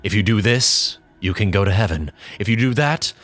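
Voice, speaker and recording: A man voicing a gentle, devout mother who softly and slowly admonishes her young son. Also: lowish voice, no echo, natural voice. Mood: gentle scolding.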